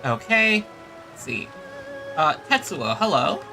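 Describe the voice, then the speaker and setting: A man's voice: on the high side, big pitch swings, slow, creaky, sharp tone, faint music behind, rough recording. A man doing casual live gameplay commentary as a streamer, often muttering little asides to himself. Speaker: game streamer. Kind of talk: casual live gameplay commentary, reading some text aloud.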